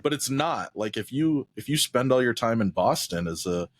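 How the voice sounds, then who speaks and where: A man's voice: mid-range voice, lively intonation, normal speed, no echo, studio quality. A man co-hosting a podcast in casual chat, explaining his points at length and often checking in with "right?". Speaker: podcast co-host.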